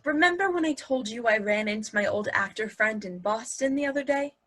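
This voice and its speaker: A woman's voice: a little high, animated, a little fast, a bit bright. A woman acting in a play reading as a sly, upbeat wife, teasing and persuasive. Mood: coy. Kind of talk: virtual stage play reading.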